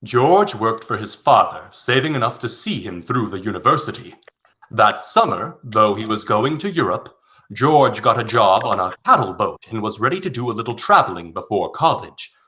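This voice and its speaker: A man's voice: lowish voice, sing-song, normal speed, sharp tone. A man narrating a scripted radio play as a storyteller.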